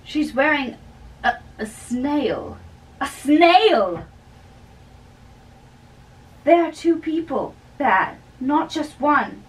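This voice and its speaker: A young woman giving a theatrical, deliberate dramatic reading of play dialogue. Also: sits high, expressive. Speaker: amateur reader of a play. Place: small bedroom, indoors. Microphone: camera a few metres away. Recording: distant camera in a bedroom.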